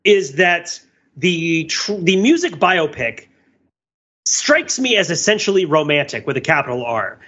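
A man with a fairly high, very bright and forceful voice, thinking aloud as a podcast panelist. He rambles analytically through ideas with animated asides. The audio is slightly dull. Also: unhurried, breathy edge. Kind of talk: discursive film and music discussion.

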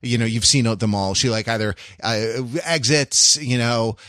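A man's voice: medium pitch, normal speed, sharp tone, no room sound. Dry, close-sounding man chatting analytically. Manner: rambling, analytical explainer. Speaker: podcast co-host. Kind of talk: casual podcast chat.

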